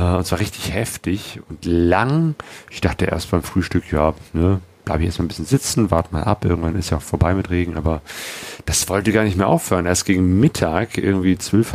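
Deep-voiced German man rambling on a travel vlog. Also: a little mellow. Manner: rambling, many fillers and restarts. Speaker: motorcycle travel vlogger. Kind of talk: casual travel vlog talk.

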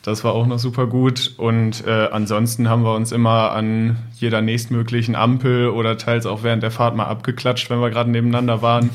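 A young man speaks at medium pitch with very even, flat intonation. He is a podcast guest recalling things in a casual conversational way. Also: a little fast, hardly any pauses. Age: young adult. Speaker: podcast guest, son of travelling family. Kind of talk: casual recollection.

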